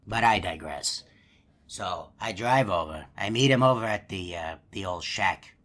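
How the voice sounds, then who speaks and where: A man doing a deep, bright, rambling hippo animatronic. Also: measured pace. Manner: rambling, folksy, full of uh and you know. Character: chatty male hippo animatronic, easygoing storyteller. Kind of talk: game character monologue.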